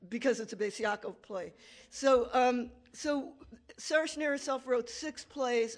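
A woman lecturer giving a conversational academic talk with slides, correcting herself and making asides to the audience. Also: a little high.

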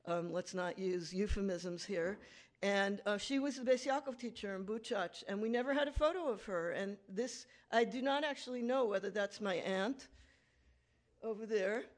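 A woman's voice: mid-range voice, moderate pace. A woman lecturer giving a conversational academic talk with slides, correcting herself and making asides to the audience.

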